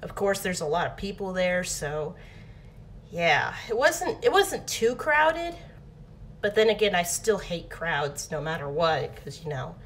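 A woman's voice: fairly low pitch, sing-song, unhurried, a bit bright, slight background noise. A young adult woman telling a casual storytime in her bedroom, rambling with many restarts and fillers. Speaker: vlogger. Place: bedroom at home. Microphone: phone or webcam, close framing.

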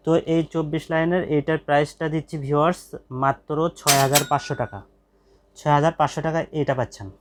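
A man with a Bangladeshi accent gives an informal product demonstration to viewers, pausing hesitantly and repeating key phrases. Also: a little high, steady tone, background noise. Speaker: shop presenter selling office equipment.

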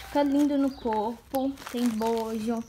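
Gushing high-voiced young woman vlogging. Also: steady tone, slow, warm tone, slight background noise. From Brazil. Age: young adult. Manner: chatty and gushing, addresses viewers as "gente". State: stuffy nose from a cold. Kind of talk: casual vlog chat to camera. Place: home rooms indoors. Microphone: phone camera at arm's length.

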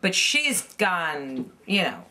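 A woman's voice: lowish voice, big pitch swings, takes it slowly, pushed and bright. A middle-aged woman from New York, a vocal coach, explaining singing technique in a casual interview indoors, earnestly and with frequent restarts. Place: indoor home room lined with CD shelves.

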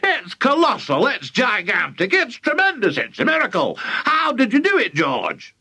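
Man acting an excitable, exclaiming storybook dad. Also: very high-pitched, moderate pace, breathy edge, voice pushed up, exaggerated voice. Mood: amazed. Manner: exclamatory, shouting in bursts. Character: excitable, fussy small father, easily worked up.

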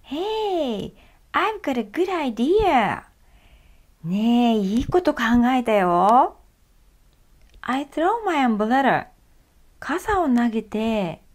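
A woman voicing an earnest round little doll boy in a storybook reading, bright and childlike. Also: fairly high pitch, full character voice. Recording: faint music behind.

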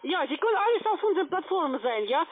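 A woman with a foreign accent, not a native speaker, giving a pushy phone sales pitch as a call-center agent, often tagging on a questioning word. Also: very animated, quick pace. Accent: foreign accent in German. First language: not German. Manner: pushy, repeatedly tagging phrases with "ja". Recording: tinny narrowband phone line.

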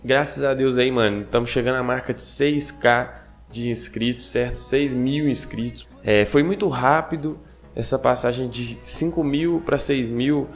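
A young man hosting a gaming channel, talking casually to his viewers with a hoarse, stuffed-up nasal voice from a cold, slangy and chatty, often checking in with them. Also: mid-range voice, measured pace, soft background music. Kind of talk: casual vlog talk to viewers. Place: home gaming setup.

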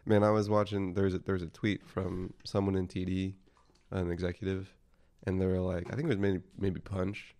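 A man's voice: deep voice, little pitch movement, moderate pace, darkish tone. A young man co-hosting a podcast, rambling and swearing casually as he talks. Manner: rambling and profane, trails off mid-thought. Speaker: podcast co-host. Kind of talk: casual chat.

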